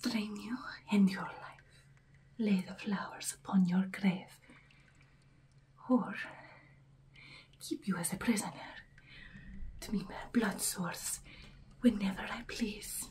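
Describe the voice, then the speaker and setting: A woman's voice: mid-range voice, a little mellow, lightly acted. A woman playing an imperious, hungry, centuries-old vampire bride in a whispered, ASMR roleplay, close to the microphone. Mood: torn, hungry. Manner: breathy whispering, drawn-out theatrical delivery.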